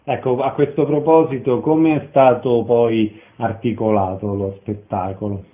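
A man's voice: medium pitch, fairly even intonation, unhurried. A man conducts a radio interview, putting questions to a guest. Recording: narrow phone-like radio sound.

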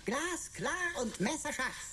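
Radio drama computer sidekick: chattering, eccentric, mock-grand and sarcastic, very animated pitch, clear background noise. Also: female voice, slow, exaggerated voice. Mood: theatrical, triumphant. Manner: pompous, florid, archaic wording. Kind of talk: radio play acting.

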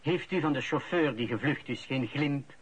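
An actor with a Flemish accent playing a weary, grumbling police commissioner in a radio drama. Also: male voice, medium pitch, normal speed, slightly rough, lo-fi sound, natural voice. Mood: businesslike. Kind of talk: naturalistic radio drama acting. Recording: noisy old radio recording.

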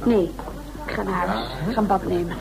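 An actress in a Dutch radio drama playing a grumpy, jealous, sarcastic woman with sharp retorts. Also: on the low side, brisk, gravelly, crowd noise, rough recording. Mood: sour.

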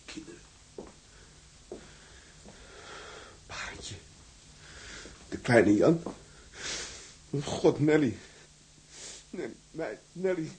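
A man's voice: on the high side, animated, slow, long pauses, a little mellow. An actor playing an imprisoned seaman, a righteous and obsessive self-styled martyr, in a vehement, declamatory drama style. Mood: grieving. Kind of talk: dramatized radio or audio drama acting.

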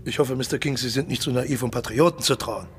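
An actor playing a suave, cynical mercenary colonel and old-hand spy in a radio play, polite but insistent, condescending and menacing. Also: male voice, medium pitch, fast talker, a little hiss or hum, lightly acted. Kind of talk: German radio play acting.

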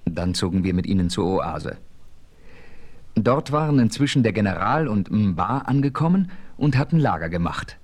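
A man playing a frontiersman hero who narrates his adventure in a measured, authoritative way in a German radio play. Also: on the low side, sing-song. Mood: narrating.

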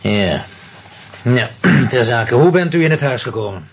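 An actor playing a brisk, sardonic police commissioner in a radio play, questioning in a curt, businesslike way. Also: male voice, medium pitch, animated, vocal fry, a little hiss or hum.

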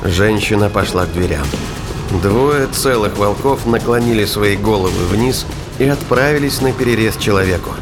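Male sci-fi thriller narrator over loud music. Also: fairly low pitch, normal speed. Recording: loud music and effects under voice. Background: music with sound effects.

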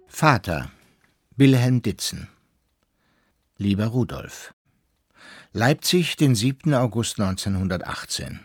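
Stern, slow-spoken formal father. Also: fairly low pitch, animated, breathy edge, no room sound, full character voice. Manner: measured, official, clipped formality. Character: stern, formal, middle-aged father setting strict terms. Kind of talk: radio drama reading of a formal letter.

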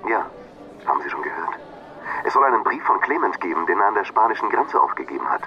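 Deep, troubled man on a dull phone line. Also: sing-song, fast, a little hiss or hum. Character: troubled, disbelieving man on the telephone. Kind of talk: radio drama dialogue. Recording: dull, distant telephone-line sound.

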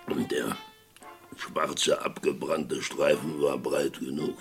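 Gravelly, fervent Western frontiersman. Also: very deep voice, steady tone, soft background music, exaggerated voice. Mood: haunted, grave. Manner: slow, halting, dramatic storytelling with repetitions. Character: fervent male friend of a condemned man in a Western. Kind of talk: German radio play acting.

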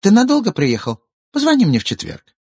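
A husky-voiced man voicing a guarded, tired woman in a literary audiobook. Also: high-pitched, huge pitch swings, a little fast, no room sound, clean studio sound, voice pushed up, full character voice. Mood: curt.

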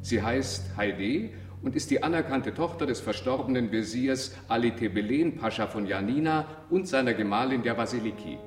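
An actor voicing a slave merchant's written deed with formal, solemn declamation in a radio drama. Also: male voice, mid-range voice, expressive, normal speed, hardly any pauses, natural voice. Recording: distant echoey hall, music behind.

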